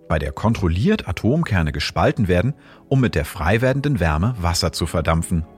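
A man hosting and narrating scripted science explanations in a calm, measured way. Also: deep voice.

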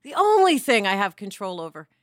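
A woman's voice: medium pitch, big pitch swings, a little fast, fairly bright tone, dry recording, clean studio sound. A woman talking casually as a podcast panelist, with a candid, informal and emphatic manner. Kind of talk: casual conversational discussion.

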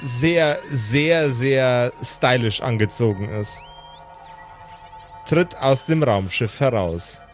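Slow-paced male game master narrating. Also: mid-range voice, soft background music. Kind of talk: dramatic improvised storytelling.

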